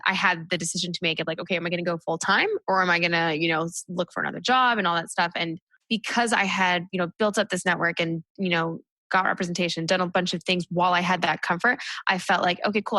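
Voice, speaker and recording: Quick chatty woman comic, bright voice, lots of likes and you knows. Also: on the low side, no room sound. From United States. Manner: chatty, full of "like" and "you know" fillers. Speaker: stand-up comic guest. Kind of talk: casual interview chat.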